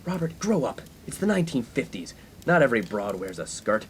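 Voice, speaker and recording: A man's voice: medium pitch, big pitch swings, fairly quick, slight background noise, lightly acted. An actor playing a pompous, skirt-chasing young college man in a 1950s comedic radio play, given to grandiose, wordy speeches. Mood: smug.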